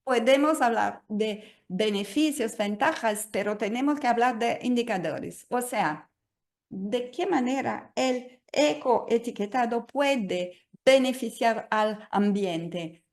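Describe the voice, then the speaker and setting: A woman whose native language is Portuguese presents in a webinar over a video call. Her voice sits somewhat high, and she speaks slowly and hesitantly, often restarting her phrases. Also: lively intonation. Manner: hesitant, many fillers and restarts. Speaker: guest speaker.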